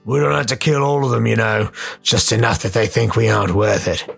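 A man voicing a tall, muscular, battle-scarred ex-pit fighter, gruff but loyal, with rough slangy speech, in a radio play. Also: on the low side, fairly quick, a bit bright, soft background music, heavily acted. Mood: gruff, grumbling. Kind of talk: radio play character acting.

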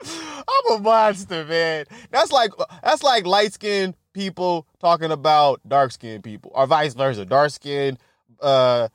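A man's voice: on the high side, expressive, slow, no echo, clean studio sound. A young man hosting a podcast, rambling casually with lots of laughter and swearing, and a stutter. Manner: laughing, profane, self-mocking. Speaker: podcast host.

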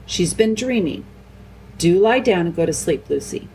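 A woman's voice: fairly low pitch, lively intonation, moderate pace, a little mellow, slight background noise, poor audio. A woman voicing a bossy, snappish older sister who scolds. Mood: scolding.

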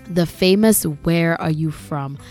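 A woman's voice: lowish voice, slow, darkish tone, faint music behind. A young woman from Grenada with a Grenadian accent, hosting a casual monologue and talking candidly, a little exasperated. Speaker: podcast host.

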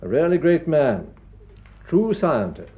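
An actor playing an eminent English physician in a radio drama, formal and authoritative, with a Received Pronunciation accent. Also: male voice, fairly high pitch, big pitch swings, slightly rough, muffled recording, heavily acted. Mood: measured, probing. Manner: measured, formal, authoritative bedside manner. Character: eminent Harley Street physician, smooth, measured, authoritative man. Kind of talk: classic radio drama acting.

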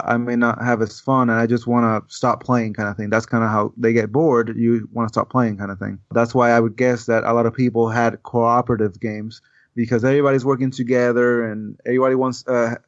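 A man's voice: medium pitch, little pitch movement, a little fast, no echo. Man on a dull dry line. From Puerto Rico. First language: Spanish. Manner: earnest, repeats words while thinking. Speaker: game designer guest. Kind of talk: casual podcast chat. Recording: dull, dry podcast audio.

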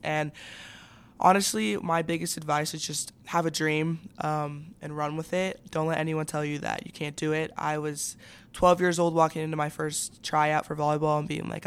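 A woman's voice: low-pitched, clean tone. A young woman in her late teens chatting casually as a student athlete guest in an interview, with lots of youthful slang and fillers.

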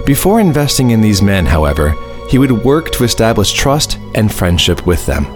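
A man's voice: on the low side, very animated, moderate pace. A man narrating a radio drama from a script in a storytelling style. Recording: music behind the narration.

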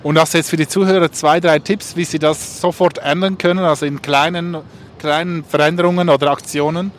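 A man's voice: on the high side, steady tone, brisk, a little hiss or hum. A man hosting a podcast in a casual, informal way, phrasing his questions and remarks a little hesitantly. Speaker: podcast host and street interviewer. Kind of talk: casual street interview and podcast chat.

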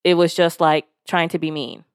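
Frank, low-voiced woman podcast host. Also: normal speed, clear, resonant tone, dry recording, clean studio sound. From Nigeria. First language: Yoruba. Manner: frank and conversational, with casual swearing; lively when retelling stories, voicing others. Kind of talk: candid self-help monologue.

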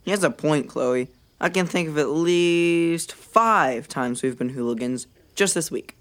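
A man's voice: a little high, measured pace, a bit bright. An actor playing a dry-witted, unruffled young male companion in a children's audio drama. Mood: dry, amused.

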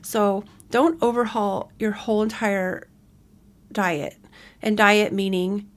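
A woman's voice: mid-range voice, steady tone, slow pace. A woman in her forties hosting a podcast alone, chatting casually and rambling with frequent self-corrections. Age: 40s. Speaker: podcast host. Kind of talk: casual solo podcast chat.

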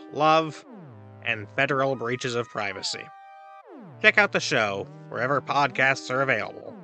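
Man playing a bright, punchy, breezy god podcaster. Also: medium pitch, full character voice. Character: cheerful deluded god dispensing absurd, dangerous advice. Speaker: advice podcast host. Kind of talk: comedic audio drama monologue, advice show.

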